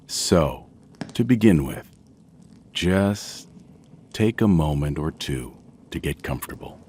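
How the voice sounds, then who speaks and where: Very deep-voiced man, slow sleep meditation. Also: expressive, slight background noise. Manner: gentle, soothing, measured with long pauses. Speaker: guided meditation narrator. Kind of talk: slow guided sleep meditation, scripted narration.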